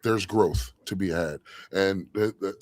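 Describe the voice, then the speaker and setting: A man's voice: sits low, measured pace, dry recording. A man co-hosting a fan podcast, talking earnestly in casual chat and often adding "you know what I'm saying". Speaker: co-host of a fan show.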